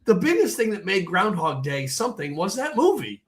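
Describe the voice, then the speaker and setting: A man from Pennsylvania with a raspy, hoarse voice after being sick, co-hosting a podcast in rambling casual chat. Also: high-pitched, big pitch swings, moderate pace. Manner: rambling, repeats himself. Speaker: podcast co-host.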